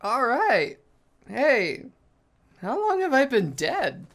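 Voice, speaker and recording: A woman's voice: on the high side, huge pitch swings, takes it slowly, a little hiss or hum, heavily acted. A woman voicing a dazed, rambling stoner character with a drawling hippie delivery in an audio drama. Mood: dazed, musing. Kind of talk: audio drama voice acting outtakes.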